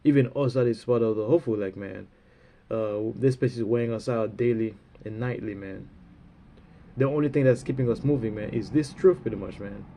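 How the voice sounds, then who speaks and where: A man with a stuffy, runny nose giving a rambling spiritual talk, halting and full of fillers, often ending phrases with "man". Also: fairly low pitch, dark tone, a little hiss or hum. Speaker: religious speaker.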